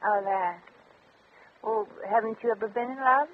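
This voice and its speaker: An actress playing a breezy, worldly, wisecracking fortune teller with a flip, streetwise manner, in 1950s radio comedy style. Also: female voice, on the high side, normal speed, slightly rough. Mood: sympathetic, casual. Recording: crackly, tinny old radio recording.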